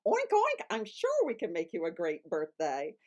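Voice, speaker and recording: A woman voicing a playful, oinking farm pig puppet with high squeals. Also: wildly swooping pitch, normal speed, a little mellow.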